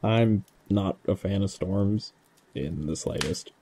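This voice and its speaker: Man as a dark-voiced, easygoing fantasy traveller. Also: low voice, slow, breathy edge, heavily acted. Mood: laid-back. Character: easygoing, dry-witted storm-shy traveller. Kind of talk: fantasy audio drama acting.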